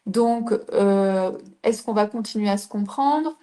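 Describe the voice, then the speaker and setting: A middle-aged woman with a soft, mellow voice. She coaches a live audience, teaching as she talks and stopping often to ask her listeners questions. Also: medium pitch, slow pace. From southern France. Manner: explains with rhetorical questions to the audience.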